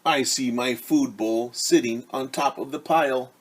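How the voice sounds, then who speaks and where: An eager family dog read aloud by a man tells a storybook tale with some worry. The voice is husky and slightly raised, in simple, earnest first-person storytelling. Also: medium pitch, measured pace, close to an everyday voice. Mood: worried. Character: eager, worried pet dog narrating a picture book.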